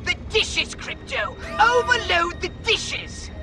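A melodramatic alien commander in a video game cutscene. The voice is high and gravelly, fretful and declamatory, with music behind it. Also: male voice, slow, full character voice. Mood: urgent. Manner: theatrical, declamatory.

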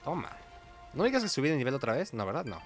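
A man's voice: mid-range voice, very animated, fast, faint music behind. A man with a Mexican Spanish accent giving casual, chatty gameplay commentary in informal slang as host of a gaming video. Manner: informal, chatty, hums little sound effects.

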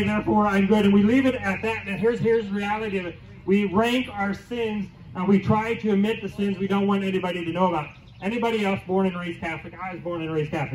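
Man preaching outdoors over a PA. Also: high-pitched. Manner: conversational preaching, asks the crowd questions, repeats key phrases. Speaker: teaching pastor. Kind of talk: outdoor sermon. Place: church lawn outdoors, congregation under a tent. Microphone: handheld mic through PA speakers, filmed from afar. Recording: distant, roomy outdoor PA sound.

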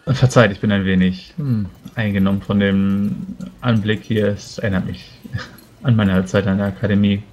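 A man's voice: lowish voice, fairly even intonation, moderate pace, a little mellow, muffled recording, slight background noise. A man speaking casually as a player in a tabletop role-playing game. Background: background music.

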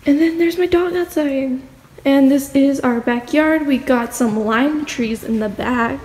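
A woman's voice: sits high, measured pace, darkish tone. A teenage girl vlogging a casual house tour with a chatty, rambling way of talking, filmed on a phone held at arm's length in an empty house. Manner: chatty, rambling, filler-heavy. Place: empty house with bare walls, indoors. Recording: echoey empty rooms, faint room hum.

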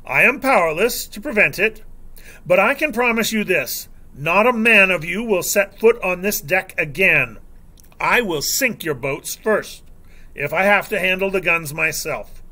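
A bright, forceful pirate captain played by a male narrator in an adventure storybook. He is stern and commanding, setting out his words with precision in a fairly high voice. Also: sing-song, measured pace, full character voice. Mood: stern warning.

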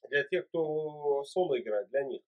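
A man in his forties speaks with a slightly raised pitch, offering warm, fatherly advice in a relaxed video chat. His voice comes through a thin, phone-like webcam line from a small indoor room. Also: a little slow. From Uzbekistan. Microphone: webcam mic. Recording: tinny phone-like webcam audio.

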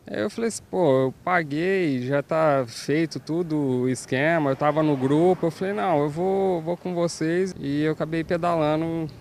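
A man's voice: a little high, moderate pace, hardly any pauses, darkish tone. A man with a Brazilian accent telling an informal story in an outdoor interview on a handheld mic. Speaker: cyclist interviewee. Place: outdoors by a road. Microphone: handheld reporter mic. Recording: faint outdoor background noise.

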